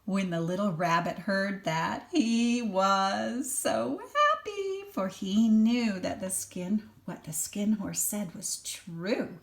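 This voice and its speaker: An older woman, a grandmother, reading a children's story aloud and adding gentle, chatty asides for a small child. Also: mid-range voice, animated, slow pace, creaky, hardly any pauses.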